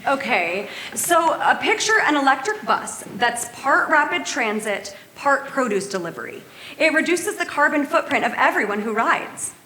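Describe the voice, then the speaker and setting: A woman's voice: high voice, sing-song, moderate pace, bright, forceful tone, a little hiss or hum, full character voice. An actress playing a harried woman who runs a food festival, hesitant and full of fillers, in a staged reading. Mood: earnest. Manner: flustered, hesitant with many fillers. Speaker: festival organizer. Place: theater stage. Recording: roomy live stage sound.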